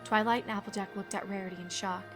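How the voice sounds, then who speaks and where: Casting: female storybook narrator, mid-range pitch, scripted delivery, soft music bed underneath. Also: steady tone, quick pace.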